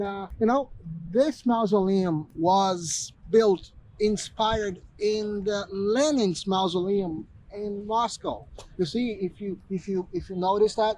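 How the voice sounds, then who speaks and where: High-voiced man vlogging outdoors. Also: slight background noise, poor audio. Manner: rambling, with many restarts and fillers. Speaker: travel vlogger. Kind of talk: casual travel vlog to camera. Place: outdoors at a bay and a city landmark.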